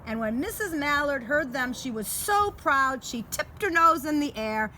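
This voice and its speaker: A woman reading a children's picture book aloud in a deliberate storytime manner, outdoors in a garden. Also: high voice, lively intonation, pushed and bright, rough recording. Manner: deliberate, showing pictures to listeners. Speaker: storytime reader. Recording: clear outdoor background noise.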